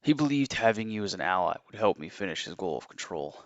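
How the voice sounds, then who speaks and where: Male actor as remorseful assassin, confessional, earnest, slightly deep voice, dry studio sound, audio drama. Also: big pitch swings, quick pace, dry recording, lo-fi sound. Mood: earnest, intense. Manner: grave, confessional storytelling. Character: earnest, haunted ex-assassin seeking his wife's rescue.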